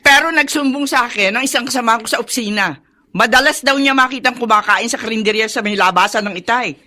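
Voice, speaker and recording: A woman's voice: a little high, fast talker, sharp tone. A woman playing a blunt, confronting middle-aged Filipina elder sister in a radio drama. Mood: accusing. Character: stern, accusing elder sister, a Filipina office worker.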